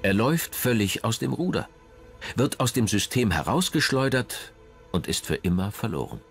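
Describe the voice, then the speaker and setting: A man narrating a scripted documentary in a measured, dramatic storytelling manner. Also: on the low side, big pitch swings, creaky, soft background music.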